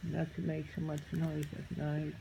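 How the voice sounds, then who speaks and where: A woman's voice: deep voice, monotone, moderate pace, vocal fry. A woman in casual chat, giving brief, plain-spoken replies. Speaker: conversation partner. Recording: noisy recording with ambient sounds.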